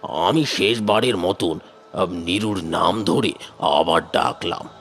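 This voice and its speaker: A man playing a sorrowful, haunted man who narrates his cartoon horror story with drawn-out suspense. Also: deep voice, vocal fry, fairly bright tone, soft background music, heavily acted. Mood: fearful, suspenseful. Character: sorrowful, frightened man recounting his ghostly lost love.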